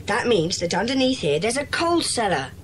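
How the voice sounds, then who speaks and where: A woman's voice: fairly high pitch, very animated, moderate pace, slight background noise. A woman playing a confident, decisive schoolboy leader with a well-spoken British accent in a children's audio drama. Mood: intrigued.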